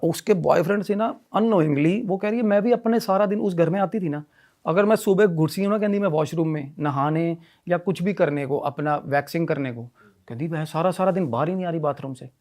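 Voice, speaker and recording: A man telling a story in animated conversational style, often repeating himself and mixing in English phrases. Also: fairly high pitch, brisk. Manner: repetitive, mixes Hindi with English phrases. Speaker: podcast guest telling a ghost story.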